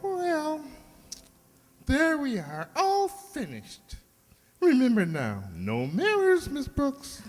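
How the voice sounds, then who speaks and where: A woman's voice: high-pitched, wildly swooping pitch, slow pace, big-room sound, heavily acted. A woman playing a fretful, hesitant beautician with a stammering, theatrical dismay in old-time radio comedy style. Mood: resigned. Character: fretful beautician Antoine, reluctantly disloyal.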